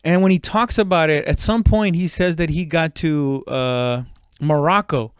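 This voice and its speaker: A man's voice: on the high side, measured pace, clear, resonant tone, no echo, clean studio sound. A man in his thirties from Mexico, a comedian telling a story casually, swearing and interrupting himself as he rambles. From Mexico, moved to the US at seven. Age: 30s. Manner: profane, rambling, self-interrupting. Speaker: stand-up comedian.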